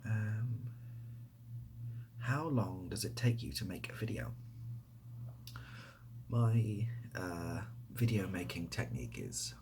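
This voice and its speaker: A man speaking softly in a casual ASMR style, breathy and often dropping to a whisper, rambling hesitantly with a self-deprecating manner. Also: fairly low pitch, expressive, slow pace, pauses often, background noise. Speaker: ASMR video creator and host. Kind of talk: soft-spoken ASMR, casual question-and-answer to camera.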